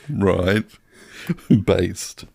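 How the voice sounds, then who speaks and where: A man co-hosts a relaxed film podcast in a low voice. His pitch moves around a lot while his pace stays easygoing, and he has a jokey manner. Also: drawn-out words, gravelly, dry recording, studio quality. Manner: jokey, prone to laughing. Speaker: podcast co-host. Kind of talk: casual film podcast chat.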